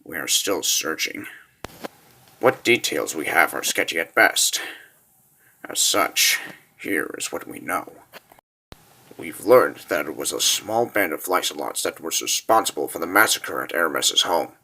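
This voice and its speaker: A man playing a sinister, calculating military spymaster in a fantasy comic dub, speaking in a measured, insinuating hush. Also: huge pitch swings, vocal fry, full character voice. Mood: grave, measured. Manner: measured, insinuating, low and hushed.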